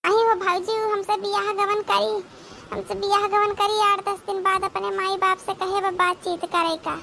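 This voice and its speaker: A woman's voice: very high-pitched, flat delivery, normal speed, gravelly, pushed and bright, slight background noise, heavily acted. A woman voicing a whining, frightened young village girl in an Awadhi comedy cartoon, pleading repetitively. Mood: anxious. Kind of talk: melodramatic comedy cartoon dialogue.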